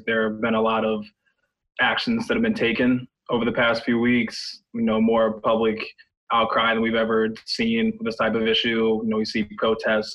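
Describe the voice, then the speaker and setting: A man speaking as a guest on a podcast, thoughtful and steady, with small restarts. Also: on the low side, poor audio. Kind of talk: podcast discussion.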